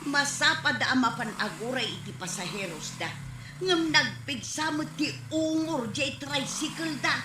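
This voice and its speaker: A woman with an Ilocano accent playing a scolding, hardworking, exasperated village wife, shrill and nagging, in a radio comedy drama. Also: animated, measured pace, echoey room, background noise. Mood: irritated.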